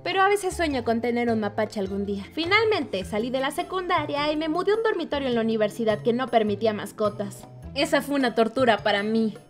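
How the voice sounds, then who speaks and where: Woman playing a high-pitched, excitable cartoon storyteller. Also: brisk, soft background music, natural voice. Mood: upbeat nostalgic. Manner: expressive, playful, comically dramatic. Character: excitable animal-obsessed young woman animator telling childhood stories. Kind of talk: animated storytime narration, Spanish fandub.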